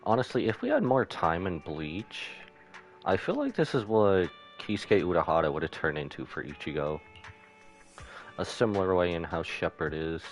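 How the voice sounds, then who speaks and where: Male game streamer, deep voice, very lively intonation, playful casual commentary over music. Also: normal speed. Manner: playful, slangy. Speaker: game livestreamer. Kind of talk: casual playthrough commentary, reading dialogue aloud.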